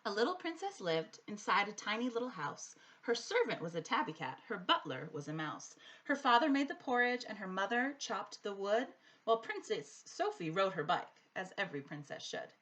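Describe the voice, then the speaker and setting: A woman reads a children's story aloud in rhyme. Her delivery is expressive and sing-song, like a teacher reading to pupils. The pitch moves widely around a middle register. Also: normal speed. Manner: animated, sing-song rhythm following the rhyme.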